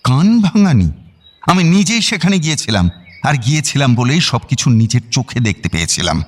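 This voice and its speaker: A man's voice: mid-range voice, big pitch swings, a little fast, natural voice. A man playing a stubborn, easily flustered middle-aged husband and father in a radio drama, indignant and blustering. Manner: indignant, stammering when cornered. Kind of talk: broadcast radio drama acting.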